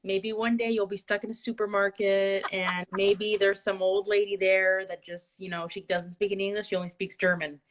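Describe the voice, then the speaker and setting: A woman from Miami with a Cuban family and Spanish as her first language, hosting a casual interview in an animated, chatty way. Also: medium pitch, normal speed, few pauses. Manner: animated, laughs easily, chatty. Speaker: interview host.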